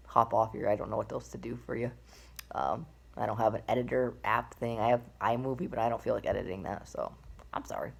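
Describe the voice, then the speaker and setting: A man's voice: mid-range voice, gravelly. A young man in his twenties rambling casually to a webcam in a small bedroom, with many fillers and restarts. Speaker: vlogger. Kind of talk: casual personal vlog.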